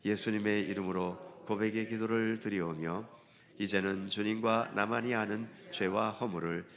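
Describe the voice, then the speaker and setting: A man's voice: fairly low pitch, fairly even intonation, moderate pace, fairly bright tone. A male pastor leading a church service in solemn liturgical recitation, his voice nasal from a head cold. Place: church sanctuary.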